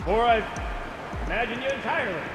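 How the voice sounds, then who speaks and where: A chatty goofy ruin delver in a game, high swoopy voice, theatrical and wordy, noisy echoey chamber. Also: male voice, expressive, brisk. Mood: wry, musing. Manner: theatrical, wordy, rambling, self-amused. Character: eccentric, chatty, cheerful male explorer and ruin delver. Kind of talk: video game character dialogue.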